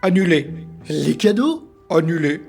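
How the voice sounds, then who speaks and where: A man's voice: fairly high pitch, expressive, measured pace, creaky, a little mellow, slightly rough, soft background music, full character voice. A man playing a callous manager announcing company policy in a comedy audio drama.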